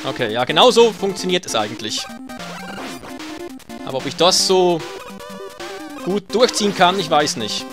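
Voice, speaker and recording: Male let's-player, somewhat high voice, dry sarcasm, faint game music underneath. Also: expressive, normal speed, long pauses. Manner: sarcastic, swears when hit. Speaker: let's play gamer. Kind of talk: casual gameplay commentary.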